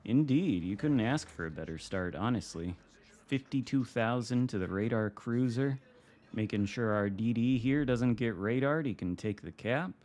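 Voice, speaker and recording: A man from Michigan with an American accent, commenting casually while streaming a game from home, wry and relaxed. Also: medium pitch, normal speed. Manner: relaxed, wry, reacting to the game with mild swearing. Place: home room.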